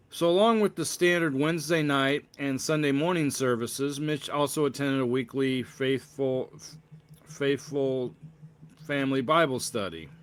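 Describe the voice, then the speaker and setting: A male author narrates his own written story aloud at a middle pitch. His delivery is a halting read-aloud, with repeated words and small self-corrections as he works through the sentences.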